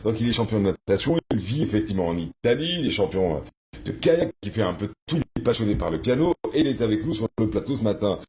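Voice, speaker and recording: A man hosting a morning TV show, interviewing his guests in the studio and steering them with brisk, leading questions. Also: lowish voice, expressive, darkish tone, a little hiss or hum. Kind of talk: broadcast interview.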